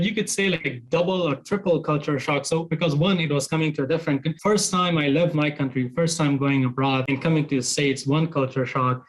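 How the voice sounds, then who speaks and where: A man in his thirties from Afghanistan, answering interview questions in a halting way, with many restarts and fillers. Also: fairly high pitch, poor audio. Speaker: interview guest. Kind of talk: casual interview.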